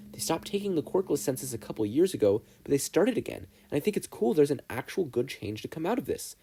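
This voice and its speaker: A man's voice: medium pitch, gravelly, mellow, slight background noise. A man voicing an earnest, idealistic teenage boy student in an audiobook, arguing emphatically. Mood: excited. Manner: emphatic, argumentative.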